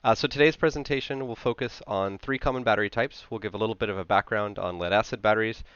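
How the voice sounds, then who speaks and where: A man gives an informal technical talk in a notably low voice, explaining things step by step in a conversational way. He sounds like a conference presenter on a headset. The recording is very dry and a little muffled. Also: quick pace, a bit bright, smooth, no room sound.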